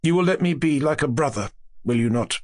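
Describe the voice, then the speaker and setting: A man's voice: fairly high pitch, expressive, brisk, lightly acted. A man voicing a humble, earnest young lord in grief, as dialogue in a gothic audiobook. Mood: grateful, fervent.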